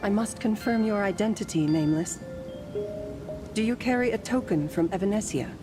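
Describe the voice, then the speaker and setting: A woman voicing an ancient, serene female guardian in a story game, with formal, measured, gently teasing phrasing. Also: mid-range voice, music in the background, natural voice. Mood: solemn, wistful.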